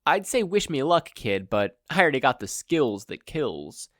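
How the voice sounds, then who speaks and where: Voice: medium pitch, sing-song, moderate pace, a bit bright, no room sound, clean studio sound, pitched above the performer's own voice. A performer voicing a bold, cocky, protective woman superhero with a confident, swaggering manner.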